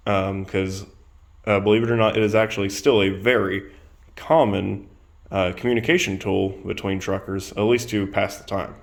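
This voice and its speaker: A man giving a casual product demonstration, explaining things in a plain-spoken way as a reviewer. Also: on the low side. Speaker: presenter reviewing radio gear. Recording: clear background noise.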